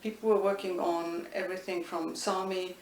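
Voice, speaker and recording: A middle-aged woman, thoughtfully answering interview questions with many pauses and restarts. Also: fairly low pitch, flat delivery. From Estonia. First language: Estonian. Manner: thoughtful, frequent hesitations and restarts. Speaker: interviewee, linguistics professor. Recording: echoey room, distant mic, faint noise.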